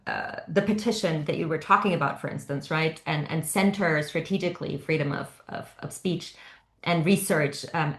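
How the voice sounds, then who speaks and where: A woman's voice: lowish voice, fairly bright tone. A woman from Germany speaking off the cuff as a panelist in an online discussion, discursive, often checking in with "right?" tags. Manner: discursive, frequent "you know" and "right?" tags. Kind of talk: impromptu webinar discussion. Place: indoor room, online video call. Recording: echoey room over online call.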